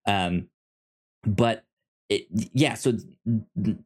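A man co-hosting a podcast in casual review chat, halting and often stammering over his words as he explains. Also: on the low side, a little mellow, breathy edge. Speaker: podcast co-host.

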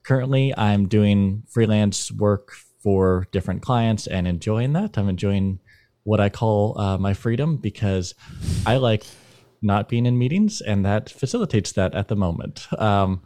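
A man from Idaho, a freelance panel guest, talking softly with some hesitation. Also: fairly low pitch, expressive, a little slow, dry recording. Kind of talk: casual panel chat.

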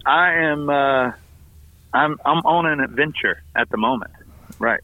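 A slightly hoarse man, a family ministries pastor, calling in to tell an upbeat, enthusiastic story full of fillers. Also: a little high, unhurried, no room sound. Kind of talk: casual phone-in report. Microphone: phone call. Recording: tinny phone line.

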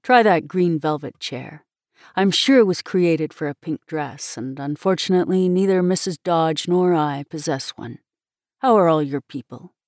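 Woman voicing a low, reserved young minister. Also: a little mellow, no echo, clean studio sound, natural voice. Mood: polite reserve. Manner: courteous, measured. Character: polite, reserved, gentle young male minister, melancholy in love.

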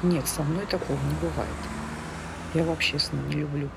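A testy older woman in a naturalistic play, pitched high. She is superstitious and curt, with homely everyday speech. Traffic is clearly heard around her. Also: steady tone, normal speed. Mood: dismissive. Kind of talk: naturalistic stage dialogue. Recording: street ambience with vehicle noise.

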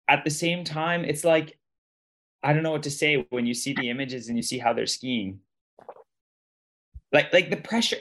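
A male podcast host with a medium-pitched voice chats through a sports recap. He is colloquial and emphatic, rambling from point to point and repeating phrases as he makes his case. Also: fairly bright tone. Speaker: podcast host and ski racing commentator. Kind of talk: casual sports recap chat.

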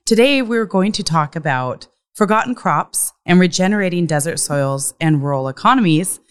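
A woman's voice: lowish voice, lively intonation, moderate pace, smooth. A woman hosting a podcast, reading a scripted intro and then talking with a guest in an upbeat way, going through lists of topics. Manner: upbeat, listing phrases. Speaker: podcast host.

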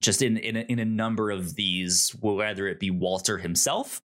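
A man co-hosting a podcast in casual chat, halting and repeating words as he thinks. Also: on the low side, a bit bright. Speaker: podcast co-host. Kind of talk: casual chat reviewing a TV show.

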